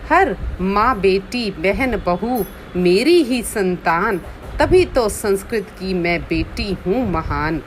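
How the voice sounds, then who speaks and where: Slow, measured woman reciting poetry. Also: medium pitch, darkish tone, slight background noise, rough recording. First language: Hindi. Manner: measured, repeats lines for emphasis. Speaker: poet.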